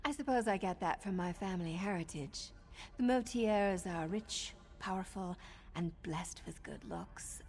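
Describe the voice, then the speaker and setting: An actress voicing a flirtatious, wicked noblewoman assassin in a video game, with a teasing, breathy manner. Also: mid-range voice, a little mellow. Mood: playful, seductive. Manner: teasing, coquettish, breathy asides. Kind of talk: video game character acting. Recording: background music under the voice.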